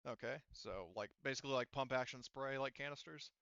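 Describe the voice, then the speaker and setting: A man narrates a tabletop roleplaying game in a bright, smooth voice. His delivery is conversational and halting as he improvises. The sound is close and dry, with slightly muffled top end. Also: medium pitch, dry recording. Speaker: game master.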